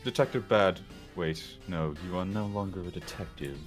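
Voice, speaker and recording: A fan dub performer voicing a composed, analytical young male prosecutor in a formal, measured way. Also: sits low, faint music behind, close to an everyday voice. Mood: serious, probing. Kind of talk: hobby fan dub of a video game.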